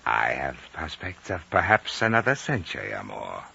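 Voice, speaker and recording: Old, muffled radio sound with faint hiss frames a courteous Chinese elder in his nineties. His low voice is suave and precise, with lively, theatrical inflection. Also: moderate pace, creaky, exaggerated voice. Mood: calm, wry. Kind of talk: 1950s radio drama acting.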